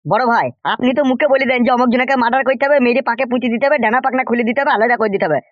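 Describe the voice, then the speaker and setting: Man acting a high-pitched, rapid-fire cartoon henchman. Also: no echo, lo-fi sound. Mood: defensive. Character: crude, eager henchman loyal to his crooked boss. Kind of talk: animated comedy cartoon dialogue.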